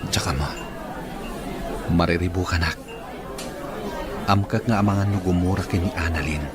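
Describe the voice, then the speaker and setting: Man playing deep-voiced earnest Filipino boyfriend. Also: steady tone, moderate pace, over loud music, close to an everyday voice. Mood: earnest, troubled. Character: earnest, troubled young boyfriend. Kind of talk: Filipino radio drama acting. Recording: busy restaurant chatter and clinking glasses.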